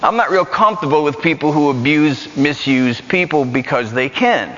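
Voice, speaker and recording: A man with a New York accent preaching a lively, joking sermon in a church. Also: fairly high pitch, moderate pace. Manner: animated, joking, repetitive. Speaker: guest preacher. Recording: muffled church hall recording.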